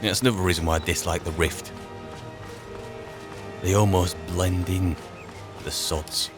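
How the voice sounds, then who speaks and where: A man's voice: low voice, fairly even intonation, measured pace, over music. A man roleplaying a wry, boastful archmage adventurer, muttering sardonic asides in colloquial speech as he plays. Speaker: roleplaying gamer narrating in character as an adventurer mage. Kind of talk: in-character game roleplay commentary.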